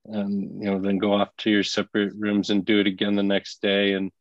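A man, a consultant guest in an interview, speaking thoughtfully and haltingly with many fillers. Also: on the low side, monotone, no echo, highs cut off. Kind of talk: reflective interview talk.

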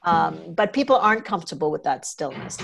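A woman's voice: low-pitched, lively intonation, brisk, gravelly, a bit bright, a little hiss or hum. A woman from Trinidad and Tobago hosting a podcast conversation, chatting and telling personal stories with quoted dialogue. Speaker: podcast host and consultant.

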